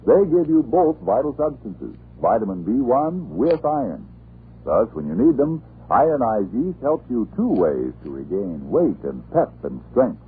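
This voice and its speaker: A man's voice: mid-range voice, sing-song, unhurried, faint music behind. An American man announcing on 1940s radio, with a formal, polished sales delivery. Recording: muffled, noisy old radio broadcast.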